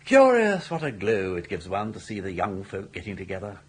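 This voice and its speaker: An actor playing a jaunty, scheming older English gentleman in a radio comedy, garrulous and grandly worded. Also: fairly low pitch, wildly swooping pitch, brisk, breathy edge, full character voice. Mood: breezy, self-satisfied. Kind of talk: radio comedy drama acting.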